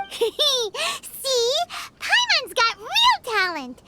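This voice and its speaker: Teeny squeaky fairy mascot, boastful and perky, wildly swoopy, video game. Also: female voice, wildly swooping pitch, drawn-out words, heavy background noise, heavily acted. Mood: boastful, gleeful. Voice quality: squeaky, childlike. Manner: perky, speaks of self in third person. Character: tiny, excitable, boastful floating fairy companion. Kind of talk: English video game voice acting.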